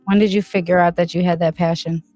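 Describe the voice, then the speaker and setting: A woman's voice: on the low side, steady tone, fast talker, warm tone, no room sound, soft background music. A woman hosting a casual podcast, asking loose, rambling questions full of fillers. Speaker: podcast host.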